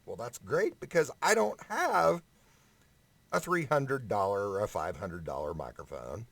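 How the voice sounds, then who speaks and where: A man with a fairly low voice and a strong gravelly creak delivers a casual vlog rant. He is blunt and emphatic, swearing freely as he makes his points. He speaks very close to a condenser microphone. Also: lively intonation, a little slow, a bit bright, no room sound. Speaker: amateur singer giving audition advice. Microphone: condenser microphone up close, no preamp.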